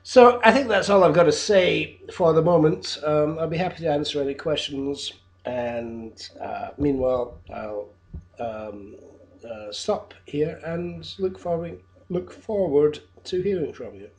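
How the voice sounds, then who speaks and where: Fifties teacher, unhurried and hesitant, a touch high-pitched, pitching himself on a home camera recording. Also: male voice, animated. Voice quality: loud voice. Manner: hesitant, with frequent ums and uhs. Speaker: teacher applying for a job. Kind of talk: unscripted video application monologue. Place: his own flat, indoors.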